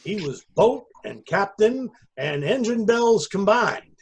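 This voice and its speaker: Male story narrator, high voice, animated intonation, theatrical, deliberate, dramatic literary reading. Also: husky. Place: indoors on a video call.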